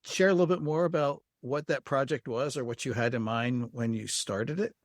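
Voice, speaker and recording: Man, podcast interviewer, medium pitch, informal, encouraging, chatty questions, dry recording. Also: normal speed, dry recording. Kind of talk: casual conversational interviewing.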